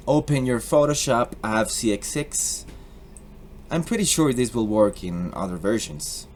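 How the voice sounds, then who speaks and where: A young man with a Colombian accent casually explaining a software tutorial, joking and slipping into put-on accents. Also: mid-range voice, animated, a little slow, faint music behind. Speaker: tutorial host.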